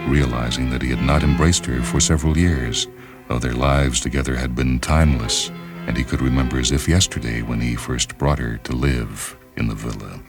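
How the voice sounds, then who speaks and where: An extremely deep-voiced man reads literary fiction as a radio narrator. His delivery is measured and solemn, with orchestral music clearly playing behind him. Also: steady tone.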